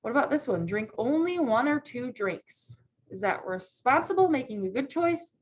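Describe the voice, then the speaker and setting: A woman's voice: sits high, animated, moderate pace. Teacher, woman, patient read-aloud lesson, keeps checking in with students. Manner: patient, checks in with frequent "right?" questions.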